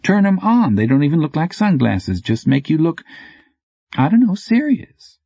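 A man's voice: fairly high pitch, big pitch swings, moderate pace, creaky, mellow, breathy edge, no echo, dull audio, voice pushed up, full character voice. A man voicing a brash, teasing bike courier with slangy, clipped, needling talk in an audiobook. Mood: explaining, amused. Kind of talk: audiobook character dialogue.

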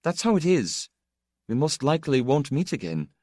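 A proud young man from an audiobook, as a male reader plays him, stiff and awkward with bitterness underneath. The pitch is raised and moves a lot, in a dry recording. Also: big pitch swings, normal speed, warm tone, dry recording. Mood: bitter, resigned. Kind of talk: audiobook character dialogue.

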